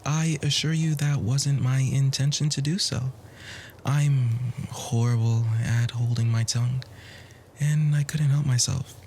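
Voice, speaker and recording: A man playing a charming, bookish nerd in an ASMR romance roleplay, flirting in formal, poetic phrases with a soft, breathy, often near-whispered voice. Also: medium pitch, steady tone, measured pace, a little hiss or hum, lightly acted. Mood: smitten, flirtatious. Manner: formal, wordy, gently hesitant flirting.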